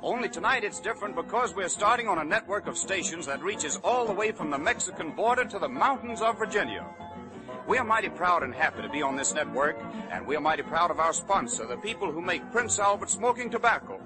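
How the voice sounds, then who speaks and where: A man working as a 1930s radio announcer and sponsor pitchman, reading scripted copy on a vintage broadcast. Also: very animated, fairly quick, music in the background, rough recording.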